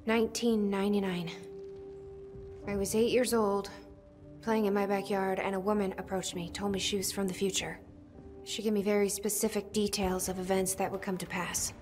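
An actress playing a grave, determined woman who believes in fate, speaking earnestly and deliberately in a cinematic video game. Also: medium pitch, level tone, a little mellow, soft background music, lightly acted. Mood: solemn, resolute.

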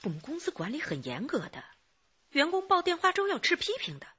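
An actress playing a businesslike general manager in a radio drama, brisk, official and cooperative. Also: female voice, high-pitched, wildly swooping pitch, a bit bright, exaggerated voice. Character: businesslike female general manager of cosmetics company. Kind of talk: Chinese radio drama acting. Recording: muffled band-limited radio drama audio.

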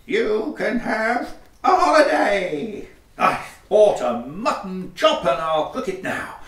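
A man's voice: a little high, far from the mic, full character voice. A man playing a pompous, wildly swooping Victorian hatter. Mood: self-satisfied. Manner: pompous, declamatory, exclamatory. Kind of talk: theatrical farce acting for audio play. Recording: roomy echo, away from the mic.

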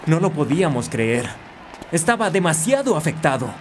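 A man's voice: a little high, big pitch swings, normal speed, background noise. An actor playing an earnest, anxious young male soccer player in a cartoon dub. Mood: worried.

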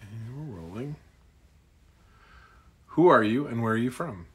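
A man's voice: mid-range voice, animated, measured pace. A man off camera asking short, plain interview questions. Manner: brief and plain.

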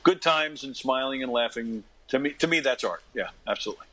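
A middle-aged man giving casual interview answers in a halting, jokey manner. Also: mid-range voice, expressive, fairly bright tone. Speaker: podcast guest, comedic actor and performer.

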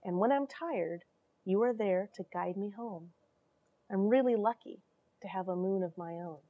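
A woman in her forties reading and explaining a story to children in an animated, questioning way from a small airlock. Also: medium pitch, normal speed, phone-quality sound. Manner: animated, expressive, addresses young listeners with questions. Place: small cramped metal space station airlock.

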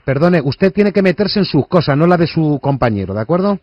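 A man's voice: on the high side, sing-song, brisk, no room sound, lo-fi sound. A man playing a condescending, confrontational phone company manager in a prank call, using formal address. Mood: scolding, indignant. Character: curt, scolding male phone company department manager.

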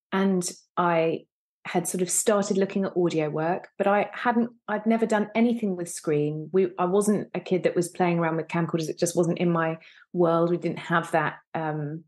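A woman in her thirties with a voice a little lower than average talks casually as a podcast guest. She thinks aloud, reshaping her phrases as she goes, over a video call. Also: steady tone, normal speed, a little mellow. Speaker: guest, actor. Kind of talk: casual interview conversation. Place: indoor room, on a video call.

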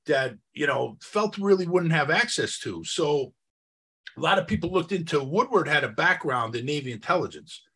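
A man's voice: on the high side, expressive, normal speed, pushed and bright, slightly rough. A man co-hosting a podcast, talking through history in an insistent, questioning, conversational way. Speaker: podcast co-host.

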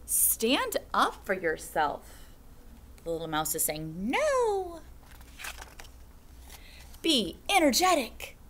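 Slow sing-song teacher reading to kids. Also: female voice, on the low side, a bit bright, slight background noise. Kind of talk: children's picture book read-aloud. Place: classroom. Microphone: webcam at desk.

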